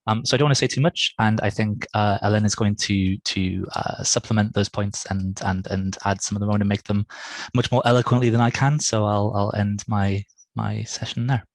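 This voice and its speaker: A man in his thirties on a headset, presenting a legal point on a webinar, halting and self-effacing, often repeating words. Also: fairly low pitch, fairly even intonation, dry recording. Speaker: legal academic, panel speaker. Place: home study.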